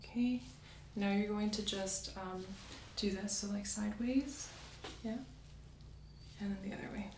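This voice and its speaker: A woman speaks softly as a doctor in an ASMR exam roleplay. She guides gently and politely, step by step. The room rings a little around her, she sits back from the mic, and there is faint background noise. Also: mid-range voice, fairly even intonation, measured pace, long pauses, recorded from a distance. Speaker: ASMR performer playing a doctor. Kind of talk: soft-spoken ASMR medical exam roleplay. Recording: roomy sound, off-mic, faint hiss.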